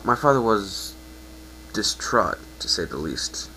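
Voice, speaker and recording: A man voicing a downcast, self-blaming young male pegasus, telling his story in an earnest, halting way. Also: on the low side, big pitch swings, sharp tone, slight background noise, heavily acted, low-quality recording.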